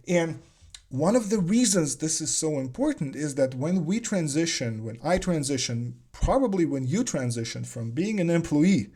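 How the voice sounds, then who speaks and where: A man's voice: mid-range voice, a little mellow. Reflective man. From Soviet Union (Leningrad). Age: 40s. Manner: thoughtful, repeats words while searching for phrasing. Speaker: business coach. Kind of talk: solo talk on business mindset.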